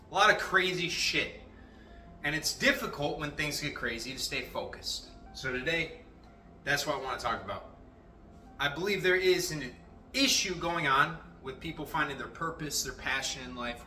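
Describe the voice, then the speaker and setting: A young man giving a casual, rambling motivational talk to his viewers, cursing freely and animated. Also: fairly high pitch. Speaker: motivational vlogger. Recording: echoey room, faint music.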